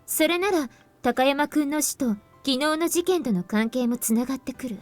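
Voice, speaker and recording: A composed young woman in a visual novel game. Her voice is high and she speaks briskly, laying out grim deductions with serious, explanatory care. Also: expressive, soft background music. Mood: grave, explanatory. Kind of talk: Japanese visual novel voice acting.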